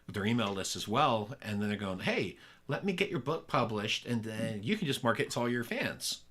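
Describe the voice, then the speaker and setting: A man hosting a podcast interview, talking in an energetic, upbeat way with a loud voice. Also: fairly low pitch, a little fast, slightly rough. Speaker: podcast host. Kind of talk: casual interview chat.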